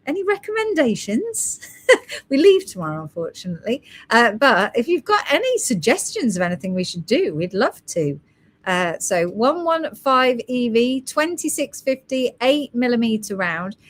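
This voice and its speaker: A woman hosts a casual live sales stream for gemstone beads. Her voice sits fairly high and moves up and down a lot as she chats. She keeps up a friendly patter, reading out stock codes and prices and thanking her helpers. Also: big pitch swings, moderate pace.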